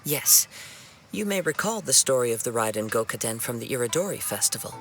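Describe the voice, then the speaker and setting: A young male wandering swordsman from a video game, humble and gentle. His speech is formal and measured, with some creak in the voice, over clear background music. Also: medium pitch, very animated. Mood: polite, composed. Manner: soft-spoken, formal, measured, earnest. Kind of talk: scripted video game dialogue.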